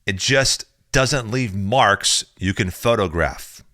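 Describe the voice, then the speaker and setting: A man reading a chapter of his own book aloud in a slow, measured, deliberate way, recorded close on a studio mic. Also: lowish voice, animated, bright, forceful tone, no echo. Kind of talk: audiobook reading.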